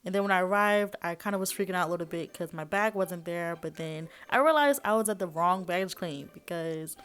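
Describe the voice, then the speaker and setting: A woman's voice: on the low side, brisk, fairly bright tone, smooth. A young woman narrating her travel vlog in a chatty, enthusiastic way, full of fillers like "like" and "um". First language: not Japanese. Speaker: vlogger. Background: background music.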